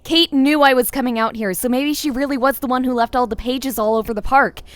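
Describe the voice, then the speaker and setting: A woman's voice: high-pitched, fairly even intonation, brisk, dry recording, lightly acted. A woman playing a young woman hunted in the woods, reasoning hopefully in an audio drama. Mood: anxious, hopeful.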